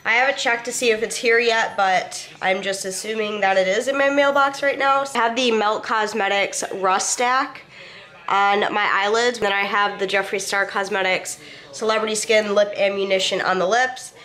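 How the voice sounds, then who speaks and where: A woman's voice: medium pitch, steady tone, moderate pace, pushed and bright. Young woman w a cold, stuffy nasal voice, rambly couch chat into a close-up cam, swears a bit. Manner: rambling, chatty, swears casually. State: congested with a cold. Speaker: vlogger. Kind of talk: casual chat to camera. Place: living room, on a couch. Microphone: handheld camera close to the face.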